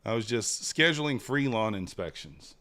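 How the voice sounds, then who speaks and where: A man's voice: fairly low pitch, normal speed. A man, hosting a live trading stream in casual chat, rambling and replying to chat. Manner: relaxed, rambling, reads chat aloud. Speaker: livestream trader host.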